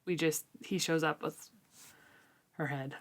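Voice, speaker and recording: A woman's voice: low-pitched, fairly even intonation, unhurried. A woman co-hosting a podcast, giving thoughtful, hedged opinions in a casual book discussion. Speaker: co-host of a book read-along podcast.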